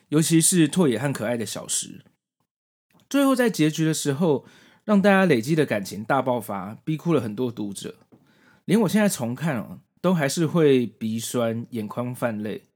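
A man's voice: medium pitch, sing-song, normal speed, a little mellow. Guy hosting a podcast, explaining a story from notes, really varied intonation. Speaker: podcast host. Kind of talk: scripted narration of manga story and commentary.

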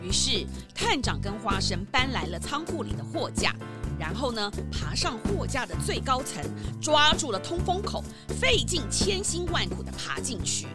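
A woman's voice: high voice, sing-song, normal speed. A woman narrating a scripted children's story. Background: prominent music.

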